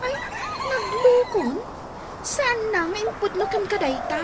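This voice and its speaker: A woman with an Ilocano accent playing a nosy, lively, exclamatory friend in a radio comedy drama, on a noisy recording. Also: very high-pitched, measured pace, a loud crowd. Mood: scandalized. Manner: animated, exclamatory, gossipy.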